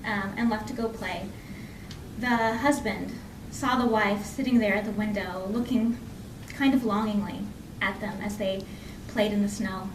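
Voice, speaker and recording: A woman telling a folk tale live in an expressive, conversational way, lingering on repeated phrases. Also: mid-range voice, a little slow. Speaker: storyteller. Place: indoor library program before an audience. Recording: echoey room from far off, faint noise.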